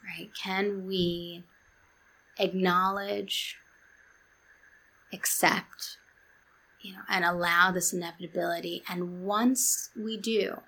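A woman speaking as a guest therapist in an interview, calm-voiced and earnest, often restarting and quoting books. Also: lowish voice, slow, long pauses, husky, low-quality recording. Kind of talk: reflective interview talk.

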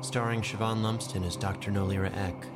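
Low-voiced man reading credits over loud music. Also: steady tone, fairly quick. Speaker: credits announcer. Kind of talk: scripted podcast credits narration. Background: loud instrumental music.

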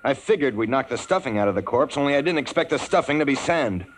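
An actor playing a cocky, hard-boiled private detective with a dry, slangy delivery in a 1950s radio drama. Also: male voice, medium pitch, expressive, quick pace, slight background noise, close to an everyday voice. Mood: wry narrating. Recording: vintage 1950s radio with music behind.

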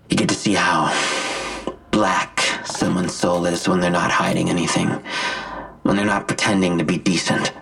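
Menacing vigilante villain, low voice, very husky rasp, self-righteous, taunting, audio drama. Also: male voice, fairly bright tone, exaggerated voice. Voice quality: gravelly, husky. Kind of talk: full-cast audio drama acting.

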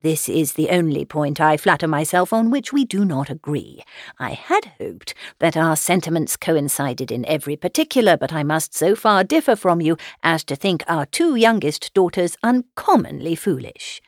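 A woman voicing a dry, sardonic middle-aged gentleman father with a measured, wry manner, reading from a classic novel. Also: deep voice, big pitch swings, no echo.

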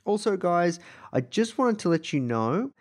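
Croaky Australian man hosting a podcast. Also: sits high, very animated, measured pace. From Melbourne, Australia. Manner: enthusiastic, informal. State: slightly croaky voice. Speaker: podcast host. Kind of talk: casual podcast intro monologue.

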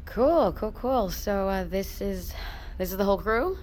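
An actress playing a guarded, earnest submersible pilot who is new to the crew, talking casually in a naturalistic audio drama. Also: female voice, mid-range voice, expressive, a little slow, a little mellow, a little hiss or hum, close to an everyday voice. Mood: tentative.

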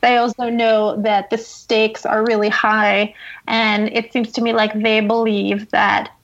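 High-voiced woman giving analytical commentary. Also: little pitch movement, unhurried, fairly bright tone, dull audio. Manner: analytical, explanatory. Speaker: journalist guest. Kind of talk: conversational political commentary.

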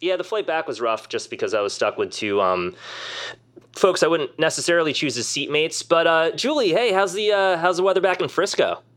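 A man's voice: on the high side, lively intonation, fairly quick, a bit bright. An American man playing a chummy, bumbling startup co-founder who rambles hesitantly in a comedy podcast. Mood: chummy, eager. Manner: rambling, hesitant, over-friendly. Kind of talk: naturalistic comedy podcast acting.

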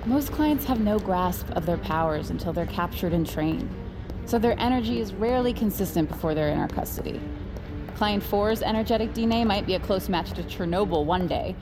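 An actress playing a calculating, controlling corporate scientist boss in an audio drama, composed, authoritative and guarded. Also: female voice, medium pitch, animated, fairly quick, music in the background, lightly acted. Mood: guarded explanatory.

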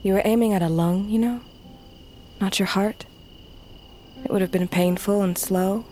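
A woman acting a young, confident, teasing warrior heir in a fantasy audio drama, with measured, archaic phrasing. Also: medium pitch, fairly even intonation, mellow, a little hiss or hum, close to an everyday voice. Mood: calm, knowing.

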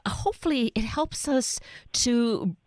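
A woman's voice: fairly high pitch, animated, takes it slowly, darkish tone, no echo. A woman radio host asking meandering, appreciative questions. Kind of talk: radio interview.

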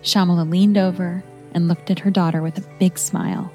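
A woman's voice: fairly low pitch, flat delivery, brisk, darkish tone, faint music behind. A woman narrating a scripted biography as a children's story, explaining things in simple words.

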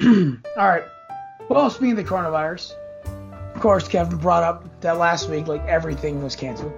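Hoarse young man, gravelly and high. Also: normal speed, soft background music. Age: 20s. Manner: rambling, upbeat pep talk. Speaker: podcast host. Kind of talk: casual sports podcast chat. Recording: dull lo-fi audio with jazz underneath.

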